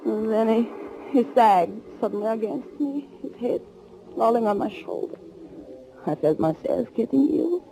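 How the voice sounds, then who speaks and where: Actress as anguished young woman, dramatic and fearful, creaky voice, 1940s radio thriller, noisy old recording. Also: fairly high pitch, normal speed, dark tone, lightly acted. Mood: horrified narration. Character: anguished young woman fleeing to avenge her lover.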